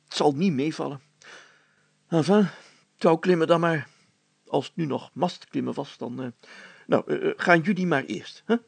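Guy doing a flustered older mayor for a kids story, creaky husky voice, hems and haws, clears throat, self-pitying. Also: on the high side, normal speed, long pauses, dry recording, pitched above the performer's own voice. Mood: reluctant, grumbling. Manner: hesitant, hemming and hawing, throat-clearing. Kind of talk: acted children's story.

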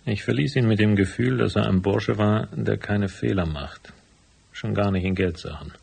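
An actor playing a tough, cynical private detective in a noir radio drama, speaking in a laconic, unsentimental manner. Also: male voice, on the low side, normal speed, vocal fry, darkish tone, slightly rough, full character voice. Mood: brooding, matter-of-fact. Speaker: narrator and lead character.

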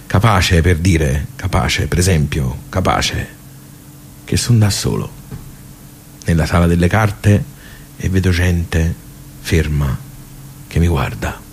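An actor with a Tuscan accent playing a plain-spoken village social club regular in a radio monologue, rambling and hesitant, repeating himself with chatty asides. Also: male voice, low-pitched, slow, pauses often, slight background noise. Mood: bewildered, subdued. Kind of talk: theatrical radio monologue, colloquial storytelling.

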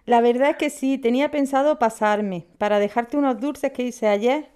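A woman acting a middle-aged bar woman from a radio play, caring but quick to tease. Her voice is smooth, clear and fairly high, with a Spanish accent. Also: dark tone, close to an everyday voice. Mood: chiding, teasing. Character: practical, caring, teasing bar woman with grown daughter. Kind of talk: Spanish audio drama acting.

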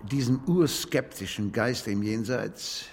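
An actor playing an ailing older gentleman, an earnest spiritualist, speaking formally and gravely with some hesitation in a German radio drama. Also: mid-range voice, slow pace, big-room sound, slight background noise.

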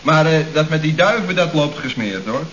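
A man's voice: on the high side, animated, normal speed, a bit bright. A man playing a carefree, bumbling employee in a radio comedy, sheepish and casual. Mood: sheepish, breezy. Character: bumbling, carefree male employee making feeble excuses. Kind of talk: 1970s Dutch radio comedy acting. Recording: very noisy, muffled old radio recording.

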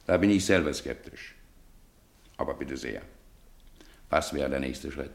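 A man playing a learned, worldly sceptic in a German radio play, dry, deliberate and opinionated. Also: rumbling low, gravelly, full character voice. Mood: sardonic, sceptical.

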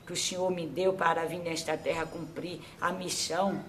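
A woman acting as a medium for an old grandmother spirit, giving slow, repetitive spiritual advice that trails off softly. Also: lowish voice, steady tone, noisy background, close to an everyday voice. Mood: counseling. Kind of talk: spiritual counseling.

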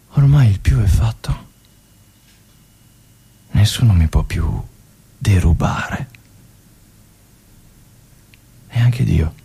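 An actor playing an ailing, forsaken veteran lieutenant at a mountain fortress in a theatrical monologue, halting and repeating his words with brooding bitterness and yearning. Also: on the low side, warm tone, slightly rough, heavily acted. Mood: hushed, resigned. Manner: halting, repeating words, brooding, swinging from whispers to anguished cries. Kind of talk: theatrical monologue, literary stage drama acting.

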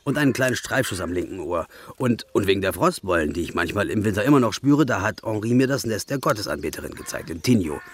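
A man's voice: lowish voice, lively intonation, fast, few pauses, slight background noise, close to an everyday voice. An actor playing a simple, earnest old soldier in a German radio play, telling his tales in a rambling, stammering way. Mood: stubborn, earnest.

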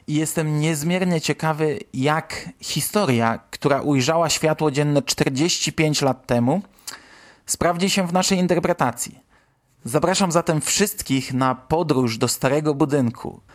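A man hosting an audio drama show, presenting and narrating from a prepared script in Polish storytelling fashion. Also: fairly high pitch. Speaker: host and narrator of a radio play podcast.

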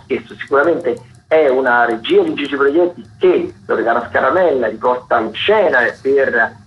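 A man presents theatre listings on the radio informally, hesitating and correcting himself, with chatty asides. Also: a little high. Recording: roomy, dull lo-fi radio broadcast sound.

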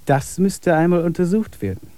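A man's voice: a little high, big pitch swings, normal speed, warm tone, no echo. A man playing a skeptical, rational camper in a radio play dialogue.

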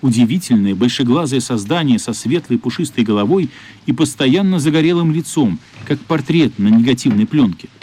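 A man narrating a radio play in an expressive, measured literary storytelling style. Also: mid-range voice, slightly rough.

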